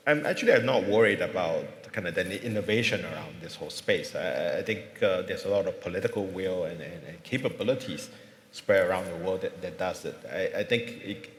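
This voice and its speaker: A man's voice: low-pitched, echoey room, in a large hall. A man from Singapore speaking as a panelist at a conference, thinking aloud with many fillers and restarts. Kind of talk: panel discussion. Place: large conference hall.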